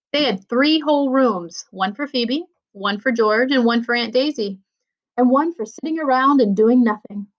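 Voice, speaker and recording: A woman reading a children's story aloud expressively, as a school librarian would. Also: on the high side. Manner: expressive, acts out dialogue. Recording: dull lo-fi sound.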